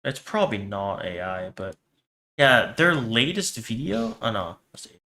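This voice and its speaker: A man's voice: fairly low pitch, sing-song, a little slow, creaky, sharp tone. A man giving casual, off-the-cuff reaction commentary while chatting to his livestream audience.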